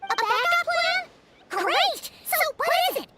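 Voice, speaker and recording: An excitable little childlike creature from an anime game, squeaky and extremely high. The delivery is blustering and chatty, swooping wildly up and down over background music. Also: female voice, a little slow. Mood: excited, eager.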